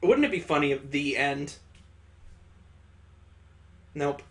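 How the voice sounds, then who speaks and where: A man's voice: a little high, lively intonation, slow pace, a bit bright. A man hosting a gaming video, reading a visual novel aloud with sarcastic, irreverent joking asides.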